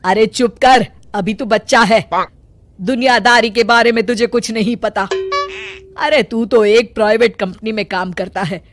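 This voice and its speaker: A woman voicing a sharp-tongued, domineering middle-aged mother-in-law who runs a tailoring shop, in a scolding, emphatic cartoon drama manner. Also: on the high side, fairly even intonation, measured pace, soft background music, lightly acted. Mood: scolding, bossy. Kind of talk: Hindi cartoon family drama acting.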